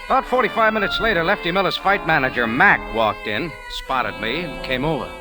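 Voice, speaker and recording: Guy doing wisecracking old radio detective, very animated pitch, wry and casual, music behind. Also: brisk, close to an everyday voice. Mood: hard-boiled narrating. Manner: wry, hard-boiled, casual. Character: wisecracking, unflappable male cafe owner, amateur sleuth. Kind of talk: 1940s radio detective narration and dialogue. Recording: old radio drama recording.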